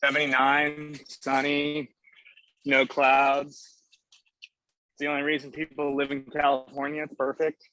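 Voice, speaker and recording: A man's voice: on the high side, completely flat delivery, a little slow, pauses often, sharp tone, low-quality recording. A man chatting on a video call in an upbeat, breezy way. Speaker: call participant. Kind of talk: casual chat on a video call.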